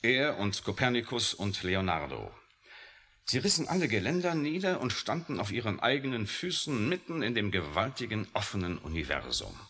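A deep-voiced, brooding, intense scientist. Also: male voice, big pitch swings, moderate pace, sharp tone, exaggerated voice. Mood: pensive, brooding. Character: intense, brooding, defiant scientist, grown man. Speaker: radio drama actor. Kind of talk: 1980 science fiction radio play acting. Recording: faintly hissy old radio drama recording.

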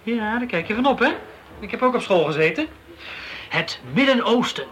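An actor playing a wisecracking, grumbling police detective in a radio drama, with an ironic, bantering delivery. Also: male voice, high-pitched, lively intonation, normal speed, fairly bright tone, low-quality recording. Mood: playful, ironic. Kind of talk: Dutch radio drama acting. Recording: radio play with faint background noise.

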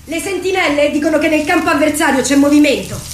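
An actress playing a dutiful military attendant, reporting formally in radio drama style. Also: female voice, high voice, fast, background noise, natural voice. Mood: alert, urgent. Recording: echoey, loud music behind.

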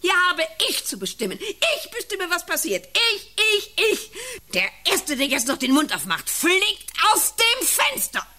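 An actress playing a prim, controlling factory boss with curt, imperious commands in a satirical radio drama. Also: female voice, extremely high pitch, wildly swooping pitch, unhurried, pushed and bright, full character voice. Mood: hysterical authority. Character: controlling, prim female factory boss, cool turning hysterical.

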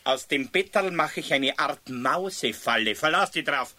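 An actor playing an excitable, stubborn Bavarian husband in a radio play, blustery and colloquial. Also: male voice, on the high side, normal speed. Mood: determined. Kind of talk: 1970s radio play dialogue.

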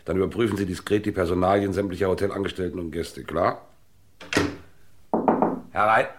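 An actor playing a brisk, businesslike police inspector in a vintage crime radio play, speaking in a clipped, commanding, matter-of-fact way. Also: male voice, low voice, steady tone, very noisy, natural voice. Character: brisk, businesslike male police inspector investigating a hotel murder.

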